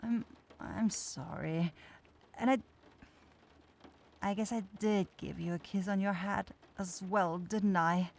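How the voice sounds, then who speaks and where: A woman's voice: medium pitch, takes it slowly, gravelly, pauses often, a little mellow. A woman voicing a caring young forest witch in a fantasy ASMR roleplay, nervous, rambling and apologetic. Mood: shy, flustered.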